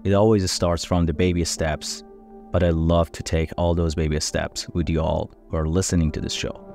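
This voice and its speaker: A man whose first language is Farsi, hosting a podcast and giving an earnest, measured introduction. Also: low voice, flat delivery, vocal fry, a little mellow, faint music behind. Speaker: podcast host.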